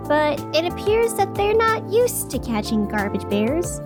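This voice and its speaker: Woman acting whimsical high-voiced small-town radio host. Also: very animated, a little slow, over music, full character voice. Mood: wry, dramatic. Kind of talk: scripted fiction podcast, community radio announcements.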